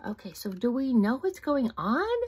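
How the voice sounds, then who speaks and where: A woman in her fifties reading a picture book aloud at home into a phone camera, animated, with whispered asides. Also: on the high side, normal speed, slight background noise. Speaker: storybook reader. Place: home room indoors. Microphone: phone camera close up.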